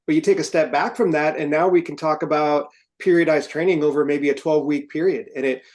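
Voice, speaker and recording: Higher-voiced man explaining step by step. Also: fairly even intonation, fairly quick, a little mellow. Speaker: podcast co-host, firefighter and trainer. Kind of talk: informal instructional explanation.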